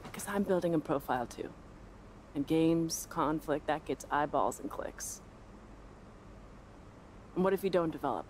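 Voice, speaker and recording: An actress voices a sharp American female sports journalist in her thirties for a video game cutscene. She is self-assured and provocative, with a pointed, sardonic delivery. There is faint noise behind the voice. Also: low voice, normal speed, long pauses, close to an everyday voice, rough recording. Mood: earnest, unyielding.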